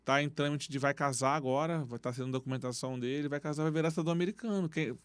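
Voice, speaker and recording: A man tells stories in lively street slang, his voice sitting a bit high. The sound is dry, close and plainly miked. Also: fast, smooth, no room sound. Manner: animated storytelling with street slang. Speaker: football coach and guest. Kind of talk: casual interview talk. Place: podcast studio.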